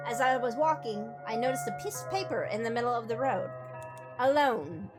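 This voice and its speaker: A woman's voice: a little high, animated, moderate pace. A woman playing through a game with casual, wisecracking commentary, reading the text aloud, with music behind her. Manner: sardonic, wisecracking. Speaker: game streamer.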